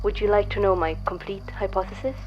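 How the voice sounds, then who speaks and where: A woman playing a cryptic, self-assured visitor in an audio drama, speaking in a measured, deliberate, portentous way. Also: mid-range voice, fairly even intonation, highs cut off, slight background noise, close to an everyday voice. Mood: probing.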